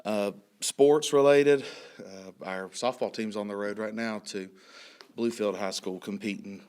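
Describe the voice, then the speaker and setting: A man in his thirties, a high school principal, giving a report at a school board meeting while a little hoarse from a cold, clearing his throat often. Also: fairly even intonation, a little slow, a little mellow. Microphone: podium microphone.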